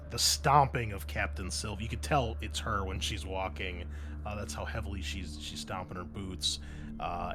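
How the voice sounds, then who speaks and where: A man runs a tabletop roleplaying game in a slightly low voice, describing scenes conversationally as he goes. Music plays clearly behind him. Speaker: game master. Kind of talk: improvised tabletop game narration.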